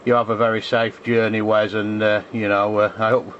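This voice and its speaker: An English man talks casually as a ham radio operator in a flat, mid-pitched voice, hesitating and repeating himself. The sound is dull, with a faint layer of noise. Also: moderate pace. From England.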